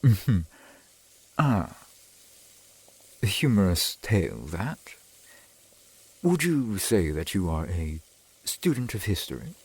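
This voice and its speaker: Casting: male vampire boyfriend, acted by a man, centuries old, courtly and doting; deep, husky voice with formal old-world diction, ASMR roleplay. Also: very animated, slow pace, pauses often, a little mellow, heavily acted, rough recording. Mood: wry, reminiscing. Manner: formal, archaic, genteel phrasing.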